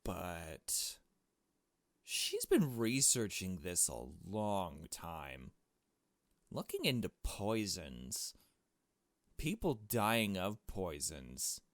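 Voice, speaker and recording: A man's voice: low voice, very animated, very slow, pauses often, no room sound, studio quality. A man giving casual let's play commentary, thinking aloud with slangy, jokey asides as he reads through a game. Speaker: gaming video host.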